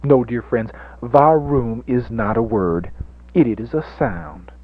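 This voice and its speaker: A pompous, fervent radio preacher played by a man delivers a mock sermon in slow, oratorical phrases. He moves between hushed drops and dramatic swells, on a dull, lo-fi 1980s radio recording. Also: mid-range voice, lively intonation. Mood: folksy, pompous. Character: fervent, theatrical radio evangelist reverend preaching car-themed faith.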